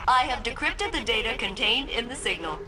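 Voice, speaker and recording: A woman's voice: on the high side, expressive, a little fast, noisy background, natural voice. A woman playing a formal, analytical assistant with precise wording in a video game. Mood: businesslike. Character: formal, analytical female assistant reporting to a captain. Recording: music under the voice.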